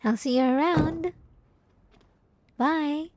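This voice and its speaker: A woman playing a sweetly devout, possessive young church girl in a scripted ASMR roleplay, soft-spoken and halting, with sugary politeness and an unsettling edge. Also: sits high, dry recording. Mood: flustered, eerily patient. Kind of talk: scripted ASMR roleplay monologue addressed to the listener.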